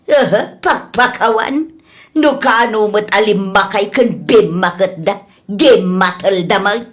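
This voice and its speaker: A woman's voice: mid-range voice, slow, natural voice. A woman playing a gossipy, disapproving mother in a comedy radio drama, exclaiming and scolding. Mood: nosy, disapproving.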